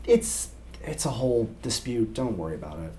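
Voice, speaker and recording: A man's voice: mid-range voice, sing-song, normal speed, warm tone. A young adult man, co-hosting a scripted explainer to camera. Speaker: co-host. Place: small indoor room set with whiteboard.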